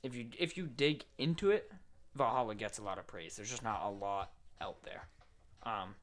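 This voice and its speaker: A man's voice: on the low side, normal speed, fairly bright tone. A man co-hosting a podcast in casual, slangy conversation, thinking aloud as he goes. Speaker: podcast co-host. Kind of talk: casual chat about video games.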